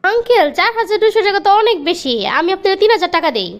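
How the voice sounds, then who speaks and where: A woman's voice: extremely high pitch, racing. A woman with a Bangladeshi accent playing an exasperated, ordinary young woman in a cartoon skit. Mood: complaining.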